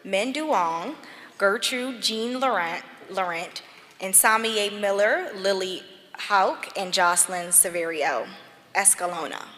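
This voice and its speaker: A woman's voice: mid-range voice, slow pace, fairly bright tone. A woman reading out scholarship award citations at a ceremony in a large church. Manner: apologetic over name mistakes. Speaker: awards presenter. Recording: reverberant church hall.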